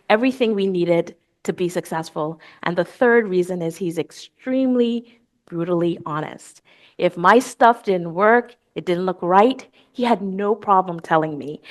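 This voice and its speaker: A woman professor from the Bahamas answering interview questions on stage in an articulate, reflective way. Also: mid-range voice. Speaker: guest lecturer, professor.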